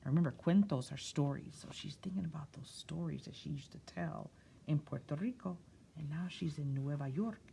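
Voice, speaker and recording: A woman reading a picture book aloud for children, stretching key words expressively and pausing for soft explanatory asides. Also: low voice, gravelly, a little hiss or hum. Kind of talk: read-aloud story time with explanatory asides.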